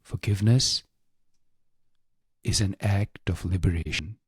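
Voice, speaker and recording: A man guiding a scripted meditation in a hushed, solemn, deliberate way, pausing often, close to the microphone. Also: low voice, breathy edge, no room sound. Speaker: meditation guide. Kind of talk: slow scripted guided meditation.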